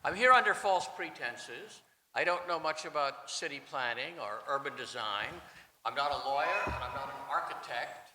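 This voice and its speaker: A man lectures loudly and wryly with a bright edge, pitched a bit high. He sounds far from the mic, his voice ringing through a roomy hall with a long tail and a faint hiss underneath. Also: lively intonation, moderate pace, soft background music. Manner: loud, emphatic and wry. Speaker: panel speaker, journalist and editor. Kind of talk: conference talk. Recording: distant voice in echoey hall.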